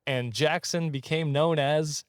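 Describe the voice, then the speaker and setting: A man with a slightly high voice tells comic stories as a casual podcast co-host. He trips over his words and giggles as he goes, swearing freely. The sound is close and dry. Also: fairly even intonation, a little slow, dry recording. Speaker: podcast co-host telling the story. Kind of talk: casual comedic storytelling.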